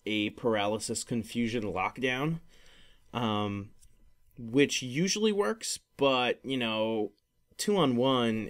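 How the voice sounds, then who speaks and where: A man chatting casually about gaming as a podcast host, in a rambling, hesitant way with frequent restarts. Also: medium pitch. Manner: hesitant, rambling, often repeating and restarting phrases.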